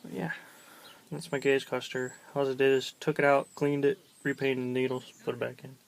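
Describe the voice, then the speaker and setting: A man with a gravelly, creaky voice at an average pitch chats through a casual walkaround. His delivery is low-key and colloquial. He is recorded outdoors, with clear noise around his voice. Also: level tone, normal speed. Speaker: vlogger showing his pickup trucks. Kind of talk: casual chat, walkaround show-and-tell. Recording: noisy outdoor handheld camera recording.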